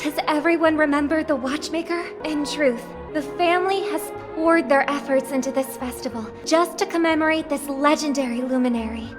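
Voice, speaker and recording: A woman's voice: sits high, a little fast, few pauses, close to an everyday voice. An actress playing a poised young woman who gives a formal, gracious ceremonial speech in a video game. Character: poised young woman, festival hostess of a ruling family. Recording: orchestral game music behind. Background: music.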